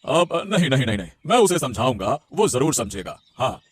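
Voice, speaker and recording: A man's voice: mid-range voice, sing-song, fairly quick, husky. A man voicing a concerned elderly father-in-law in a Hindi cartoon, mediating and chiding the family. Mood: reassuring. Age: older. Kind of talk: Hindi moral-story cartoon voice acting.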